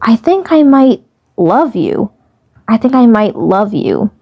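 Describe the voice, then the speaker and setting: A woman's voice: on the high side, sing-song, slow pace, warm tone, heavily acted. A woman voicing a small male cartoon commander, teasing and smitten, who repeats his phrases hesitantly and earnestly. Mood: tender, sincere.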